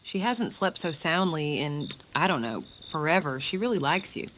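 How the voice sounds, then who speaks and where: Woman playing a low-voiced, world-weary young mother. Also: very animated, moderate pace, a bit bright, a little hiss or hum. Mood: rueful. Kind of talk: audio comic dramatic acting.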